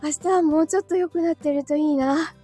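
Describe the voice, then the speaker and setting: A woman's voice: high voice, fairly even intonation, a little fast, mellow, soft background music. A woman live streamer chatting casually and answering comments, giggly, tired and a little short of breath after being ill. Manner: giggly, often breaking into small laughs.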